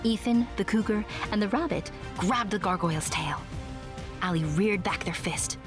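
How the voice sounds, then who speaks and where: A woman's voice: mid-range voice, normal speed, lightly acted. A woman playing a small, proud winged secret agent who narrates an audio drama expressively and earnestly. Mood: proud, excited. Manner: expressive, self-important storytelling. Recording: music behind the voice.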